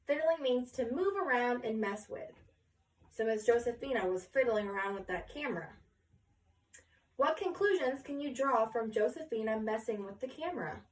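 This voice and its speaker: A woman reading a picture book aloud to children in an expressive storytelling style. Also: a little high, moderate pace. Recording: echoey room, distant mic.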